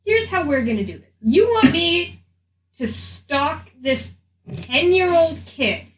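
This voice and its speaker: Woman as a flippant talking magic item, drawling slowly. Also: extremely high pitch, sing-song, voice pushed up, heavily acted. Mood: bargaining, grudging. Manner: sardonic, casual, bargaining. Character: flippant, self-interested sentient magic item sharing a body. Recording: noisy, echoey room, distant mic.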